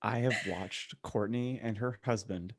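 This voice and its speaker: A man's voice: on the low side, unhurried. A man co-hosting a podcast in casual, joking conversation. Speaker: podcast co-host.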